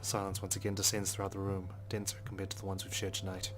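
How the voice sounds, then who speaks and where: A man reading as a brooding police detective who narrates a visual novel in a measured, solemn way. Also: low-pitched, completely flat delivery, very noisy, lightly acted. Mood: pensive. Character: brooding, guilt-ridden male police detective narrating inwardly. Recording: music behind the voice.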